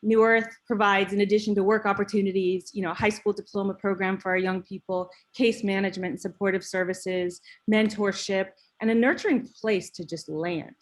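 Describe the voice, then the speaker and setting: Woman, medium voice, steady, heartfelt, panel intro. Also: medium pitch, brisk, hardly any pauses. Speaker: panelist, nonprofit co-founder. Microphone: video call.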